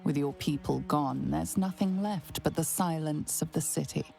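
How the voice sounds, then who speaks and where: A grave fantasy game narrator, speaks low with a heavy creak over prominent music. The delivery is slow, portentous and ominous, like a storyteller of dark fate. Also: female voice. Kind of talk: scripted dramatic game narration.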